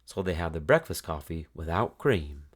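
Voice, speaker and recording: A man with a deep voice narrates a children's storybook. His delivery is highly melodic and expressive, rising and falling to carry the tale. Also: moderate pace, breathy edge.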